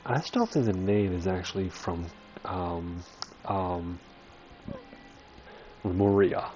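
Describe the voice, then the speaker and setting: Deep-voiced man playing through a game. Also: slow, a little mellow. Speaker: gamer reading and reacting to a story. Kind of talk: casual game playthrough commentary and read-aloud. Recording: noticeable game ambience behind the voice.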